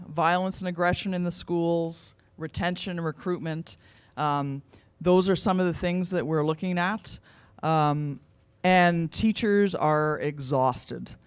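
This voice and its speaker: A woman's voice: fairly low pitch, a little mellow, clean tone. A woman moderating a panel, speaking hesitantly with frequent fillers.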